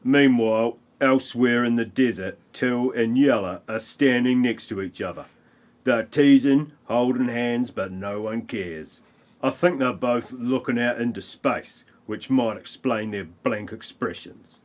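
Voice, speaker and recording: A man's voice: medium pitch, a little slow. A man narrating an amateur audio play, reading a scripted film parody with wry comic asides.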